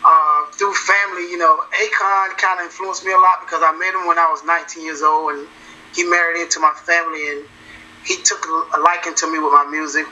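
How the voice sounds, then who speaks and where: A man, a musician talking in a casual interview, relaxed and repeating himself as he goes. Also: a little high, fairly quick, lo-fi sound. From South Carolina. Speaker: musician guest.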